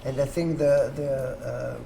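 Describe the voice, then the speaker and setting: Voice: medium pitch, fairly even intonation, measured pace, gravelly. A person patiently explaining board game rules as the host to players at a table and checking that they follow. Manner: patient, instructive, checks understanding. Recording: noisy room with background ambience.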